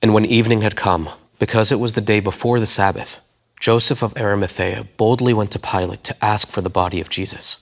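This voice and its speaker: A man's voice: lowish voice, steady tone, fairly quick, natural voice. A man giving a dramatic narration of a scripture story.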